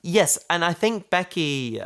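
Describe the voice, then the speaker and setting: A man's voice: on the high side, expressive, takes it slowly, fairly bright tone, clear, resonant tone. A man chatting casually on a podcast, posing questions and working through thoughts with hesitant phrasing. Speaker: podcast discussion partner asking questions.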